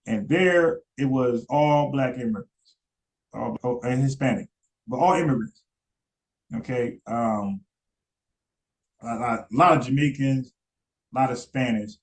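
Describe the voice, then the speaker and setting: A man talks loosely through a live stream at an ordinary mid-range pitch. He rambles and stumbles over repeated words. He keeps turning to ask his viewers questions. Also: rough recording. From United States. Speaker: commentary streamer. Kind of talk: casual live-stream commentary.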